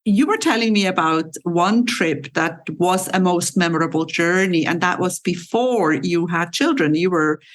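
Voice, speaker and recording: A woman's voice: lowish voice, moderate pace, hardly any pauses. A woman hosting a podcast, chatting and asking her guest friendly questions. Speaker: podcast host. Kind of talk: conversational interview.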